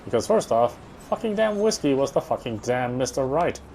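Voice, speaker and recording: A man's voice: mid-range voice, sing-song, normal speed, a little mellow, slight background noise, rough recording. A man reading visual novel narration aloud, sometimes stumbling and going back over phrases. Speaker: game streamer reading aloud.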